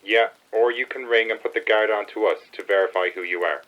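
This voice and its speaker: A man's voice: on the low side, fast, fairly bright tone, lightly acted, low-quality recording. A man acting a rule-bound Irish helpline official in a radio play, formal, firm and procedural.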